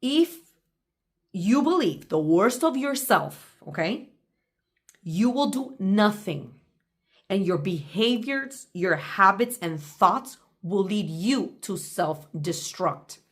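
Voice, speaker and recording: A woman gives a faith-based motivational talk in a mid-pitched voice. She speaks deliberately and with emphasis, addressing the listener directly, as if coaching them through notes from her own journal. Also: expressive.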